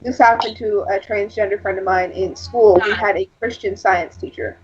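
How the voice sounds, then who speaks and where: A woman with a husky, fairly low voice chatting casually, rambling through personal stories with lots of fillers. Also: steady tone, normal speed, a crowd in the background. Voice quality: husky, lower than average for a woman. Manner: rambling, storytelling with frequent fillers. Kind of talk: casual chat. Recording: lo-fi dull sound.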